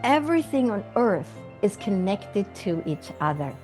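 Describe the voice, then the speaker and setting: A woman's voice: mid-range voice, big pitch swings, measured pace, darkish tone, faint music behind. A middle-aged woman from Sweden, a physician and medium, telling her story earnestly in an interview with light laughs.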